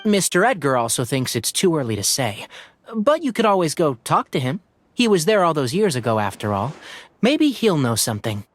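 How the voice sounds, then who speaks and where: A man's voice: a little high, sing-song, moderate pace, a little hiss or hum. A man playing a dutiful, helpful young forest ranger in a video game, speaking in a matter-of-fact, informative way. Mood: thoughtful. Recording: music playing behind the voice.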